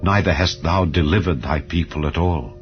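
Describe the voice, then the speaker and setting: A troubled male prophet acted by a man narrator pleads in a scripture drama. His voice is very low, earnest and solemn. The audio is slightly dull, with calm music underneath. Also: moderate pace, natural voice. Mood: troubled, pleading. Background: calm background music.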